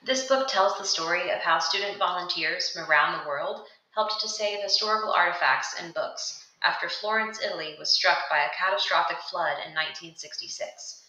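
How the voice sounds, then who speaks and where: Young adult woman, earnest scripted voice-over, lowish and bright, echoey room. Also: normal speed, few pauses. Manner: steady, earnest read-aloud delivery. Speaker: student presenting book recommendations. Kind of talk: scripted narration of a video ad. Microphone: voice-over over still book pages.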